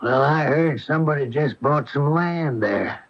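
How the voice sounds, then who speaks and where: An actor playing a curious, easygoing American gold prospector with a folksy, questioning manner in a radio drama. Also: male voice, fairly high pitch, a little slow, lightly acted. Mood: curious, insistent. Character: easygoing small-town amateur gold prospector, curious and hopeful. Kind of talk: 1980 radio drama acting. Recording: dull lo-fi 1980 radio broadcast.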